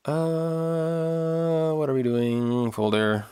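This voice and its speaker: A man chatting casually on a livestream while he works, thinking aloud in a halting, rambling way with repeated phrases. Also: a little high, little pitch movement, darkish tone, smooth. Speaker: livestream host and audio drama producer.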